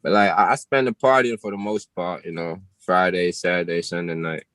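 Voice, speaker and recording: A man's voice: low voice, steady tone, moderate pace, fairly bright tone, no echo. A man in his twenties with a Jamaican accent, a musician chatting casually as a guest on an interview show. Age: 20s. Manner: laid-back, slangy, laughs often.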